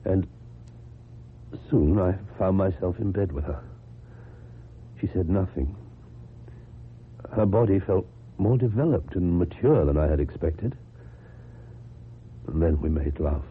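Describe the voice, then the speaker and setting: Deep-voiced, hesitant British loner. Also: male voice, expressive. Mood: confessional, subdued. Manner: hesitant, halting, formal. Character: lonely, awkward, inexperienced man, earnest and apologetic. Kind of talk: old-time British radio drama acting. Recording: muffled, noisy old radio recording.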